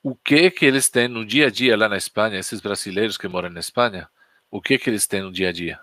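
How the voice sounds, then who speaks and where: A man's voice: fairly low pitch, fairly quick, bright, forceful tone, no room sound, clean studio sound. A man with a Spanish accent, a native Spanish speaker, teaching Spanish in a chatty, anecdotal style and putting questions to his viewers. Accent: Spanish from Spain. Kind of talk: casual storytelling lesson on a live stream.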